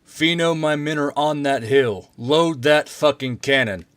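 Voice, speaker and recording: A man's voice: on the high side, unhurried, a bit bright, clean tone, dry recording. A man voicing a fierce, domineering male commander in an audiobook, barking curt orders. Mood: stern commanding. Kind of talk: audiobook character dialogue.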